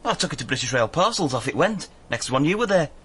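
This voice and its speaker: Blunt casual working bloke, radio drama, slightly high voice, clipped phrases, bit of noise behind. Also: male voice, big pitch swings, quick pace, fairly bright tone. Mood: offhand, blunt. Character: offhand, blunt male working man who hauls cases. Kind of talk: BBC radio drama acting.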